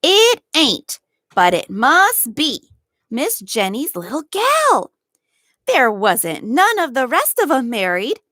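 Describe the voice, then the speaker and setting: A woman voicing a kindly, loyal old male gardener in a storybook, speaking in a gentle, deliberate rustic dialect. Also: high-pitched, wildly swooping pitch, dry recording, clean studio sound, voice pushed up, full character voice. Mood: tender wonder. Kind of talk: storybook read-aloud.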